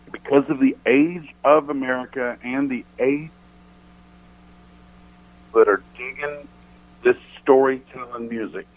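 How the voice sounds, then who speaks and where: Deep-voiced man, tinny phone line. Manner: rambling, thoughtful, many restarts and fillers. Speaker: country singer guest. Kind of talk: casual radio interview chat.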